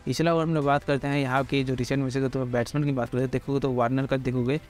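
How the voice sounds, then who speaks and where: A man giving a quick, casual cricket pitch analysis, talking straight to viewers with lots of filler phrases. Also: level tone, a little mellow, faint music behind. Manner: rapid, heavy filler phrases, addresses viewers directly. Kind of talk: fast casual explainer over slides.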